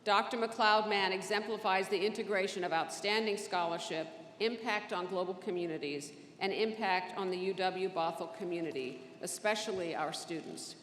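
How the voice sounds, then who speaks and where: A woman introducing an award winner at a commencement in a steady, formal reading voice, speaking into a podium microphone in a large indoor venue. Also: mid-range voice, moderate pace, few pauses, a little hiss or hum. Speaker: ceremony presenter. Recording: echoey hall, faint ambience.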